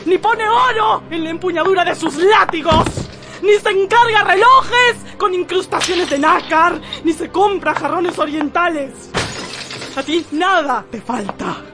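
An actress playing a proud, desperate married woman in a radio drama, with a theatrical, impassioned, pleading delivery. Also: very high-pitched. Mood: scornful fury. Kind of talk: Spanish-language radio drama acting.

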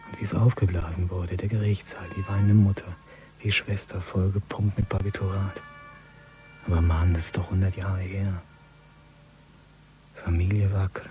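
An actor playing a wary, edgy man on a stakeout, muttering a breathy inner monologue in a radio crime drama. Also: low-pitched, flat delivery, normal speed, pauses often, lightly acted. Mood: brooding, uneasy. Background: music.

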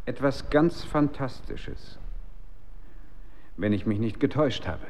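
A man's voice: mid-range voice, slow pace, darkish tone, slight background noise, lightly acted. Actor as an earnest, troubled male scientist. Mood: reserved, sceptical. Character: troubled research scientist, principled, sceptical, unsettled. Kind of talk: German radio drama acting.

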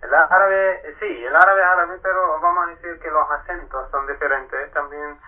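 A man from Palestine talks as a guest on a radio show. His speech is hesitant, with many fillers and restarts. His voice sits a little high and sounds narrow and tinny, like a phone line. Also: normal speed. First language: Arabic. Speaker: guest, Arabic teacher. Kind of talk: radio interview.

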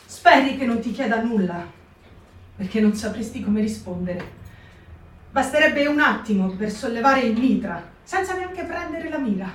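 A woman's voice: fairly high pitch, animated, normal speed. A woman giving a performed literary reading, narrating in an intimate, vivid way. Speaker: reader. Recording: roomy live stage, distant mic.

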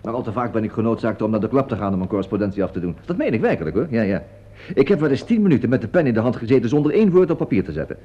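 An actor in a Dutch radio play as a wry, fussy veteran stage actor with a theatrical, drawling, sardonic manner. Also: male voice, medium pitch, sing-song, rapid-fire. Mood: wry, musing. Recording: dull lo-fi radio drama recording.

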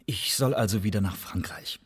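An actor in a German radio drama playing a wary, defiant Elizabethan poet-spy, giving terse, sardonic, grudging retorts in a husky voice. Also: male voice, on the low side, moderate pace. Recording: studio radio play with long reverb.

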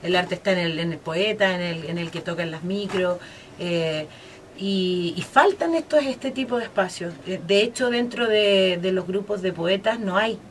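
A woman's voice: on the low side, normal speed, a little hiss or hum. Chatty Chilean woman radio host. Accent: Chilean Spanish. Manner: hesitant, many fillers and restarts. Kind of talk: casual interview chat.

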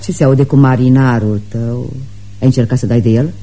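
A woman's voice: rumbling low, fairly even intonation, mellow. An actress playing a brash, fussy middle-aged mother with haughty airs in a radio theatre production. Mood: defensive.

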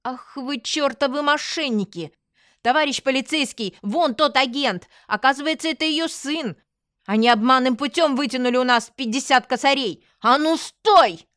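An outraged woman, acted by a female narrator calls out in a raised, urgent voice. She is indignant and accusing, loud in a dry audiobook recording. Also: sits high, normal speed, dry recording, pitched above the performer's own voice. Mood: furious.

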